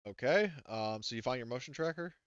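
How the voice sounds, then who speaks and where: A man running a tabletop role-playing game as game master, haltingly thinking aloud through the rules. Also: mid-range voice, expressive, smooth, no echo, dull audio.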